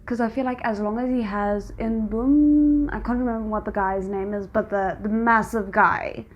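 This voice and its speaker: A woman's voice: a little high, steady tone, moderate pace, muffled recording, slight background noise. A woman giving casual, emotionally invested reaction commentary as she watches a drama, exclaiming and thinking aloud. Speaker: reaction video host.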